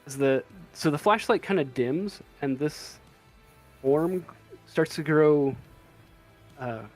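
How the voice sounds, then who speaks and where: A man running a tabletop game as game master, narrating off the cuff and halting as he searches for words. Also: on the high side, darkish tone, faint music behind.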